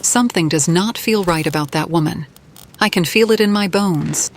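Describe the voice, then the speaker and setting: Actress playing a careful game heroine, slightly low pitch, formal, firm, measured, faint background noise. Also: female voice, natural voice. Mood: measured, wary.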